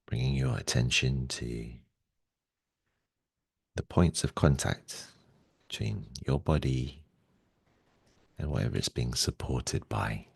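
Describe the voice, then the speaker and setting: Very deep, gravelly man guiding meditation. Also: sing-song, no room sound. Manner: gentle, soothing, with long pauses. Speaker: meditation guide. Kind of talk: guided meditation, spoken slowly and softly.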